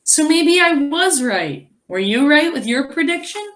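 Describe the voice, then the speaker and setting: A woman teacher reading a children's story aloud expressively, pausing to explain and wonder aloud. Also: sits high, sing-song, a bit bright. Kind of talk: animated children's read-aloud with asides.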